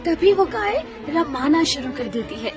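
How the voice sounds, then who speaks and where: A woman's voice: sits high, very animated, measured pace. A woman reading a scripted children's story as the narrator. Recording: music under the voice.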